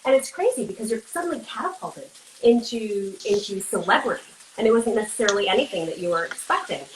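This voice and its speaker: A woman's voice: medium pitch, expressive, quick pace. Chatty woman hosting over kitchen noise. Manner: informal, chatty, talks to viewers. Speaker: interview show host. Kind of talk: casual chat while cooking. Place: kitchen, cooking along on a livestream. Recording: noisy video call with kitchen sizzle. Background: food sizzling, hiss.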